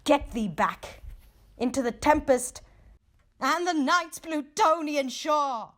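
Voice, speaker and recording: A woman's voice: high voice, lively intonation, takes it slowly, a bit bright, voice pushed up, full character voice. A woman playing a despairing, grieving scholar in a posh British accent, theatrical and impassioned in a dramatic poem reading. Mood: shrieking fury. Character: grief-stricken, despairing scholar raging at an ominous bird.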